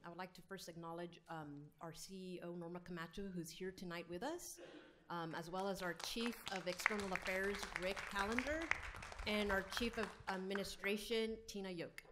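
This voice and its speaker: A woman's voice: mid-range voice, steady tone, moderate pace, crowd noise. A woman hosting a semi-formal meeting from a podium microphone, introducing speakers and guiding the room. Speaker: program host introducing speakers. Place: government board chamber, indoors. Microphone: gooseneck podium microphone. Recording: faint room noise on podium mic.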